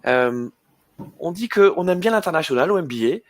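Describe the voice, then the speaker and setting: A man's voice: high-pitched, animated, moderate pace, no room sound. A man hosting an alumni webinar, speaking hesitantly with many fillers and repeated words. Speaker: webinar host. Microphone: video call.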